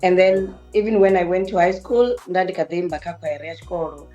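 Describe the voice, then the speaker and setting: A woman with a Venda accent and a deep voice, a musician recalling her village childhood as a guest on a radio show. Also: quick pace, soft background music, low-quality recording. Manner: relaxed, reflective, mixes English and Venda. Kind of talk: casual radio interview. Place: radio studio.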